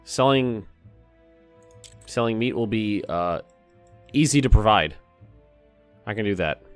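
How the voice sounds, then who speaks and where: A man with a slightly hoarse voice, casually commenting while he plays a city-building game, thinking aloud and cursing now and then. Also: fairly low pitch, expressive, takes it slowly, soft background music. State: a little hoarse. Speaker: let's play host.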